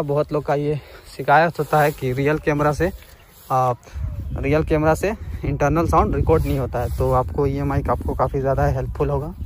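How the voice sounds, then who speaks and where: Higher-pitched man, casual vlogger. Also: a little hiss or hum, poor audio. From India. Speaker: motovlogger. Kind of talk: casual vlog demonstration.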